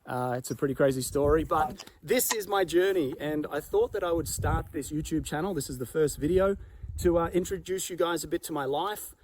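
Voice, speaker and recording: A man with an Australian accent, speaking in a relaxed, chatty way full of "uh" and "like" as he walks around his rural farm for a vlog. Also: on the high side, fairly quick. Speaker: vlogger. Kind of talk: casual walk-and-talk vlog. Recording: loud outdoor background noise.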